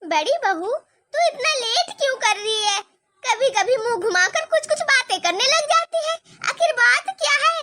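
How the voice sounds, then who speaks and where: A woman playing a bossy mother-in-law in a homemade family skit, barking quick orders at her daughters-in-law. The voice sits very high and bright. It is recorded dry and close. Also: animated, no echo, heavily acted. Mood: scolding. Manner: scolding, urgent commands. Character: bossy worried middle-aged mother-in-law.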